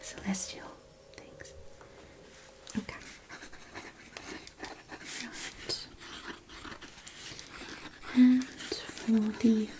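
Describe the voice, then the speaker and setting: A woman playing a kindly, chatty fairy craftswoman in a fantasy ASMR roleplay, softly whispering in a nurturing, slightly hesitant way. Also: on the high side, level tone, extremely slow, pauses often. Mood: attentive, kindly.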